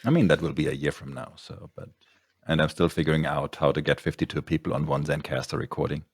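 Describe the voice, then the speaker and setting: A man's voice: rumbling low, fast talker, slightly rough, dry recording. A man hosting a podcast interview, chatting casually and rambling through his points. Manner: rambling, with many ums and restarts. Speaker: podcast host.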